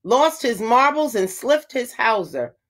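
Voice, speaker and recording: A woman's voice: fairly high pitch, sing-song, measured pace, a bit bright. A woman reading aloud the part of indignant, gossiping neighbors in a storybook, exclaiming and scolding. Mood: scandalized.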